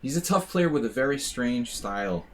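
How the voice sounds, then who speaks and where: A man's voice: medium pitch, expressive, normal speed. An American man giving casual, rambling live commentary on his chess games, with wry asides and plenty of fillers. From United States. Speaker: chess streamer.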